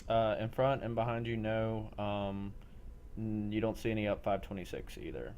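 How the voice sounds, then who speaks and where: A man's voice: on the low side, fairly even intonation, normal speed, a little mellow, dull audio, a little hiss or hum. A man running a role-play game as game master, describing scenes off the cuff in a matter-of-fact way. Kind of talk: improvised tabletop-style game narration. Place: online group game session.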